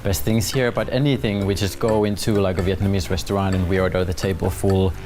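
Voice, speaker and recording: A man in his thirties speaks in a low voice with a Finnish accent. He talks casually and expressively, like a guest in a relaxed interview, with guitar music clearly playing underneath. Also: quick pace. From Finland. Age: 30s. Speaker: talk show guest.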